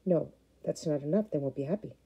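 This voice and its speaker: Woman reading calm plainspoken herder man, steady, dark husky tone. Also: fairly high pitch, fast talker.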